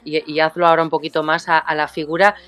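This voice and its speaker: A woman's voice: deep voice, little pitch movement, fast talker, fairly bright tone, smooth, no room sound. A woman hosting an interview, friendly and rambling in her questions. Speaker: host.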